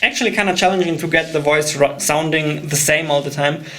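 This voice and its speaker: A man's voice: a little high, fairly quick. A man hosting an indie game devlog in a casual, informal way with self-deprecating humor. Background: background music.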